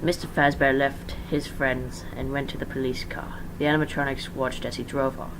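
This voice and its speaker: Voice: mid-range voice, level tone, moderate pace, gravelly, fairly bright tone, low-quality recording. An amateur narrator giving a dramatic story reading, informal, stumbling over words and correcting along the way. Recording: faint background noise.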